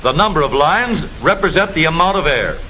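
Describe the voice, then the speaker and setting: A bright, forceful American man narrates in the instructive, declamatory style of a 1940s military newsreel. His delivery swings widely in pitch. The old film soundtrack carries a light layer of noise. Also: animated, brisk, poor audio.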